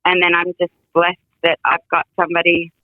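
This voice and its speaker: A woman's voice: lowish voice, completely flat delivery, a little fast, no room sound. A woman from England, a guest songwriter, telling stories in a rambling, casual way over a phone line. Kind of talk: casual interview.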